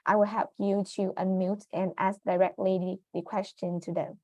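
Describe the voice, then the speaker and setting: A woman's voice: medium pitch, level tone, normal speed, a little mellow, no room sound. A woman host runs an online Q&A in polite, procedural with a lilt and the odd hesitation. Her voice sits in a middle register and sounds dry and close to the mic, as on a video call. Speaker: webinar moderator. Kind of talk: hosting a webinar Q&A.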